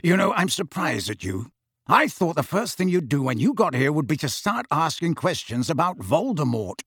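A man's voice: sing-song, moderate pace, a bit bright, slightly rough, no room sound, clean studio sound, full character voice. A man voicing a frank, challenging godfather with a blunt manner in an audiobook. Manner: low-key, blunt.

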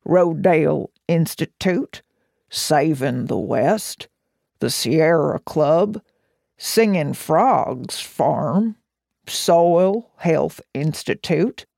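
Slow pace, gravelly, dry close sound, listing names evenly. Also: mid-range voice, lively intonation, a little mellow, dry recording. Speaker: representative. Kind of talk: scripted roll-call reading.

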